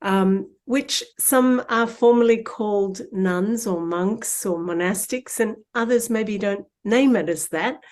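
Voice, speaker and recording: A woman hosting an unscripted conversational interview in an affirming, gently reflective way. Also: mid-range voice, slow pace, few pauses. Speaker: interview host.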